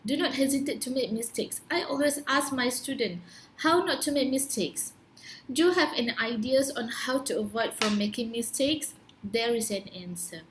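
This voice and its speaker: A woman's voice: sits high, a little hiss or hum, low-quality recording. A young woman with a Malaysian accent speaks in a slightly raised register, her native Malay shaping her English. She talks like a teacher, earnest and instructive, stopping to ask her listeners rhetorical questions. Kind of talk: prepared talk to camera.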